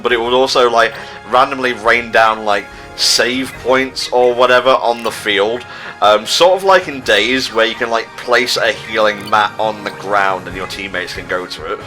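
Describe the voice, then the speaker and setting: A man chats casually as he hosts a video game playthrough. His voice is bright and forward at an average male pitch, over light game music. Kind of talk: casual gameplay commentary.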